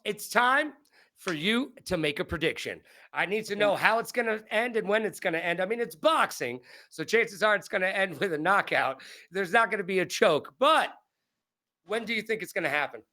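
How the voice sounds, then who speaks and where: A male interviewer with a notably high voice and a bright, punchy tone. He hosts a casual sports chat and talks in chatty, repetitive bursts. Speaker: sports interview show host.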